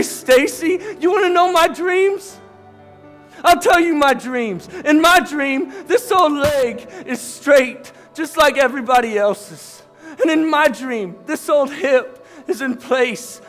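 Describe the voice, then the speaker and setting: A man's voice: sits high, natural voice. A man playing a shy, self-mocking young man in a church stage monologue, joking about himself and then pleading with strong feeling. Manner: quick self-deprecating jokes that swell into impassioned outbursts.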